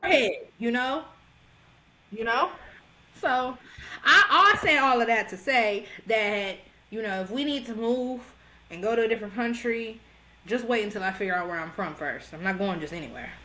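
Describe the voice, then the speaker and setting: A young woman from Georgia co-hosting a podcast, chatting casually in an animated, slangy way and laughing often. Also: on the high side, moderate pace, rough recording. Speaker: podcast co-host. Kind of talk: casual chat.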